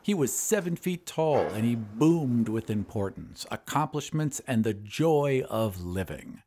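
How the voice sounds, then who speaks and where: A man's voice: medium pitch, expressive, unhurried, warm tone, a little hiss or hum. A man narrating a scripted story in a dramatic audio-drama style.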